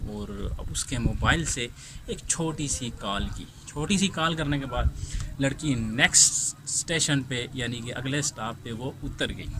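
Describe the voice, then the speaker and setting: A man with a Pakistani accent tells a story informally as a host, halting and repeating himself, often asking rhetorical questions. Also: mid-range voice. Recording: clearly noisy amateur recording.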